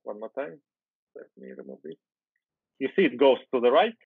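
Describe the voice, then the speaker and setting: A man's voice: medium pitch, huge pitch swings, a little fast, gravelly, husky, no room sound. A man whose first language is Ukrainian, in his thirties, walking through his code on a video call, thinking aloud with fillers and restarts. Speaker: guest software developer. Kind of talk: casual screen-share coding chat. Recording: tinny phone-like video call audio.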